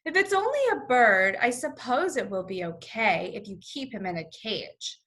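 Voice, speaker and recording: A woman's voice: fairly high pitch, animated, normal speed, fairly bright tone. A woman reading aloud as a puzzled, easygoing male policeman in a children's storybook.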